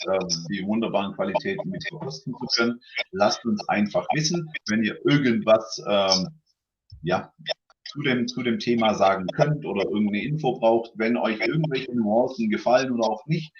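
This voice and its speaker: A man's voice: on the low side, normal speed, highs cut off. A man hosting a casual livestream tasting, chatty and full of fillers, greeting viewers by name.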